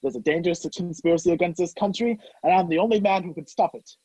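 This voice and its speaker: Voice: mid-range voice, fast talker, mellow, husky, dry recording, lightly acted. An actor playing Richard Hannay as a brusque, sardonic man on the run in an old-fashioned radio play, recorded muffled over a video call. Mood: menacing, sarcastic. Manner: commanding, sarcastic.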